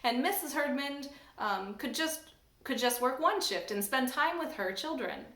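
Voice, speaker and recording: Woman church staff member, high voice, animated storybook reader, roomy echo. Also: measured pace. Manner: animated, expressive reading. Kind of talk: storybook read-aloud.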